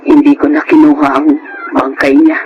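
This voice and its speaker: A woman playing a devout, gently resigned older mother, earnest and sorrowful in a Filipino radio drama. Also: low voice, little pitch movement, normal speed, lo-fi sound, very noisy, close to an everyday voice.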